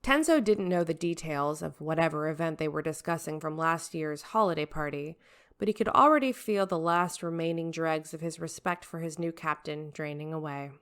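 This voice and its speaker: A woman's voice: deep voice, normal speed, a bit bright, smooth. A woman reading scripted fiction as an audiobook narrator.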